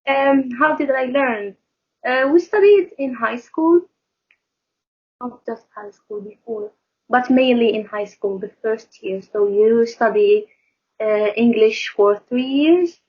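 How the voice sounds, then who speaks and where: Husky-voiced Moroccan woman on a call. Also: on the high side, slow pace. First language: Arabic. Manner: laughs often, speaks with many fillers and restarts. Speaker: guest. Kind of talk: casual video call chat. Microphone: phone camera on video call. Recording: muffled lo-fi video call audio.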